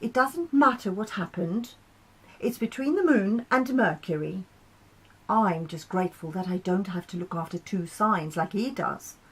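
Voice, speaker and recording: A woman's voice: mid-range voice, lively intonation, a little slow, slightly rough, rough recording. A woman voicing a kindly, protective male planet in a storybook, reassuring and chattily reflective. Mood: protective, relieved.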